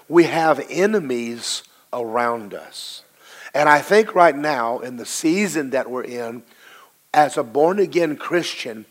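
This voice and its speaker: Pastor, man, middle-aged, low and hoarse, emphatic preaching with drawn-out stresses. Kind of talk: sermon.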